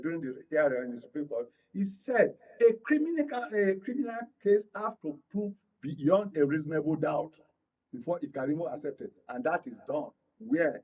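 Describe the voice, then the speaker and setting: A Nigerian man with a fairly high voice speaks as a guest analyst in a political discussion. He lays out his points in an explanatory, deliberate way. The sound is slightly dull and lo-fi. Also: a little mellow. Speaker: media analyst, panel guest.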